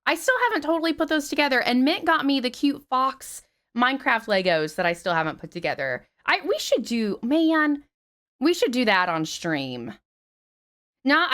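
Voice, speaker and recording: Chatty streamer woman, slightly raspy. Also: a little high, normal speed. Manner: chatty, swears freely, animated greetings. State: slightly raspy after flu. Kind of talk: casual stream chat greeting viewers. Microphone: headset.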